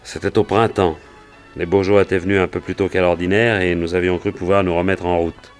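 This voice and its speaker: A man's voice: low voice, little pitch movement, a little fast, faint music behind, natural voice. An actor playing a wary, plain-spoken cowboy and gold prospector in a French radio detective drama, telling his story in colloquial speech. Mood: recounting earnestly.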